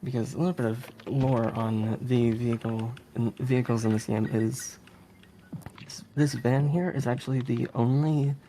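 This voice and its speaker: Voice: mid-range voice, steady tone, moderate pace, dark tone, a little hiss or hum, low-quality recording. A speedrunner giving casual live commentary on a game, chatty and giggly, with some hesitation while explaining. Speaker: speedrunner presenting a game run. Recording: game music behind the voice. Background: game music.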